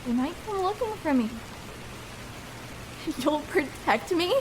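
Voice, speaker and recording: A woman's voice: high voice, lively intonation, slow pace, background noise. A young woman playing a shy, vulnerable escort in an intimate ASMR roleplay, speaking hesitantly and tenderly with small stammers.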